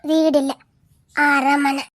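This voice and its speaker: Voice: high-pitched, little pitch movement, slow pace, no echo, clean studio sound. A performer voicing an eerie old ghost grandmother who wails and repeats herself. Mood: eerie calm. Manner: wailing, moaning, repeats herself. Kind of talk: horror skit acting.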